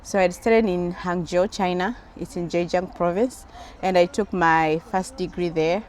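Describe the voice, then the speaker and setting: A woman's voice: lowish voice, fairly even intonation, unhurried, darkish tone, a little hiss or hum. A woman with a Tanzanian accent answering questions in a casual interview, with a playful, effusive manner. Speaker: interview guest, businesswoman and engineer.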